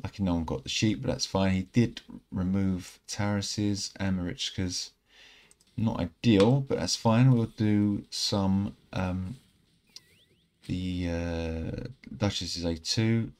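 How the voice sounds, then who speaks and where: Low deep-voiced guy talking over his gameplay, bit croaky, laid back and rambly. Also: takes it slowly. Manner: relaxed, rambling, self-correcting. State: slightly croaky from singing. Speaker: gaming channel host. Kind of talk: casual gameplay commentary. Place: indoor room at home.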